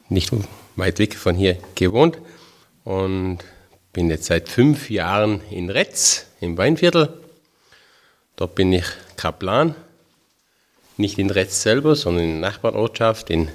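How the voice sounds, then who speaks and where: A man in his fifties from Tyrol with a Tyrolean accent, a religious brother giving a chatty, homely spiritual talk to a group. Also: low-pitched. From Tyrol, Austria. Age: 50s. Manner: homely, chatty, self-deprecating with dialect phrases.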